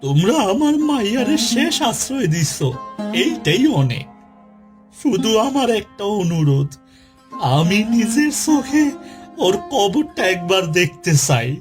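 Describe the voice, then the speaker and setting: Man acting as elderly father, rural Bangladeshi accent, high voice, sorrowful pleading, audio ghost story. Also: sing-song, unhurried. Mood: sorrowful resignation. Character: grieving elderly village father of missing girl. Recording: music behind the voice.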